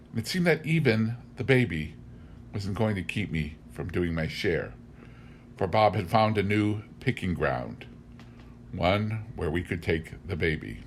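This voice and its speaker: A man with a fairly low voice reads a humorous memoir aloud. His delivery is scripted and measured, with a wry storyteller's turn to the phrasing. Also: slight background noise. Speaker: audiobook reader.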